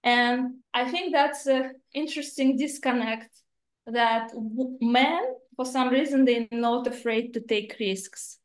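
Russian-accented woman in her thirties, interview guest, raised voice, earnest and a little halting, roomy laptop-call sound. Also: a little high, steady tone. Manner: earnest, slightly halting phrasing. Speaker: guest investor. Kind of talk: remote interview. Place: home office indoors. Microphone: laptop camera on video call. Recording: roomy video-call audio.

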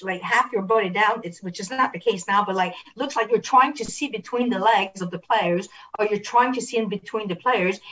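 A woman's voice: fairly high pitch, fairly bright tone, recorded from a distance, poor audio. A middle-aged woman instructing referees over a video call, analysing clips with repeated phrases and rhetorical questions. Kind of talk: video analysis coaching at an online clinic.

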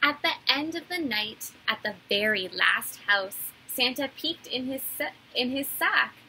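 A woman in her thirties reading a children's story aloud like a teacher, in a deliberate, animated storytelling way. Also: high-pitched, gravelly, a little hiss or hum, rough recording. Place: small indoor room.

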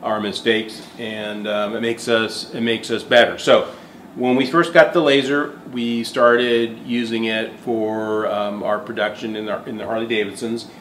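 A man's voice: fairly low pitch, steady tone, a little slow, fairly bright tone. A man in his fifties, a shop owner, talking candidly on camera in a workshop, thinking aloud with fillers and restarts. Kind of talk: casual on-camera explanation. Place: indoor workshop beside a welding machine. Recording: echoey workshop, faint background hum.

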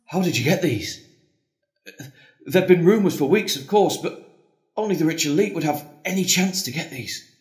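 An actor plays a devout priest in a radio play. His voice sits a little high for a man, earnest, tender and solemn. It echoes in a roomy space. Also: lively intonation, moderate pace, pauses often, lightly acted. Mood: doubtful. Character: John, devout, tender, doubting priest facing the end. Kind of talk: audio drama acting.

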